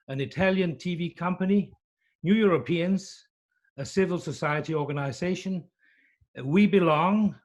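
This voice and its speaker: A man hosting and moderating an online panel discussion, introducing guests in a measured, welcoming way. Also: fairly high pitch. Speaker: host, moderator.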